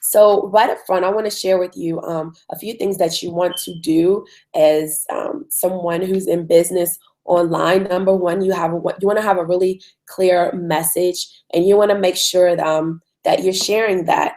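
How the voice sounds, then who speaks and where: A woman with a hoarse voice from a cold, hosting a live online webinar in a conversational way with frequent ums. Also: lowish voice, steady tone, normal speed, few pauses, a little mellow. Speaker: webinar host.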